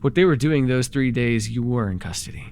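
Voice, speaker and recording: A man playing a sly, haughty, taunting dark-magic villain ruler in a fantasy audio roleplay, with a mocking, drawling delivery. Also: mid-range voice, animated, brisk, a little hiss or hum, lightly acted. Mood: smug, taunting.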